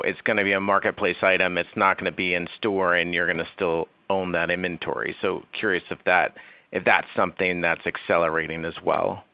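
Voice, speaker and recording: A man with a deep voice asks questions as a financial analyst on a call. He is conversational but businesslike, and the line is dry and a little muffled. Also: no room sound. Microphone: conference call line. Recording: dull, dry conference call audio.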